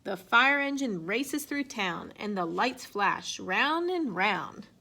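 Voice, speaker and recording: A woman reading a children's picture book aloud in an expressive way. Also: mid-range voice, slow pace, vocal fry. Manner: expressive, acts out sound effects and exclamations. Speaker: storybook reader.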